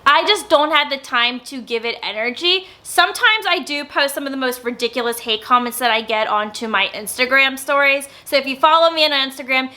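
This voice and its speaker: A young woman in a casual sit-down vlog, talking loudly and openly, with some stammering and lots of filler words. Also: sits high, fairly quick, hardly any pauses. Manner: naturally loud, with stammers and many filler words. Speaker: YouTuber. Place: indoors, sit-down filming setup.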